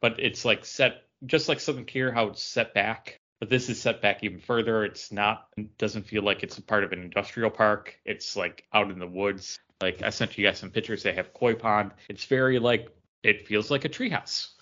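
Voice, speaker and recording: Low-voiced man rambling casually. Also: steady tone, moderate pace, few pauses, sharp tone, lo-fi sound. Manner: rambling storyteller. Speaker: podcast co-host. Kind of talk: casual chat.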